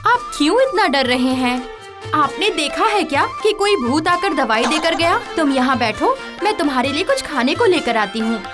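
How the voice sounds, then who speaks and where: Caring young cartoon daughter, very high pitch, animated intonation, backing music. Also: female voice, normal speed. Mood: concerned. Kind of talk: Hindi comedy cartoon dubbing.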